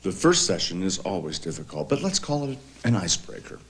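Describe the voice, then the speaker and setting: An actor narrating a dark comedy as a wry, mocking storyteller in a scripted style. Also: male voice, low-pitched, big pitch swings, fairly quick, slightly rough, exaggerated voice.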